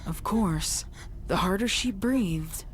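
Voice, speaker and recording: A woman reading a dark story as dramatic scripted narration. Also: mid-range voice, slow pace, slight background noise. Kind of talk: dramatic scripted narration of horror fan fiction. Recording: clear music behind the voice. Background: music.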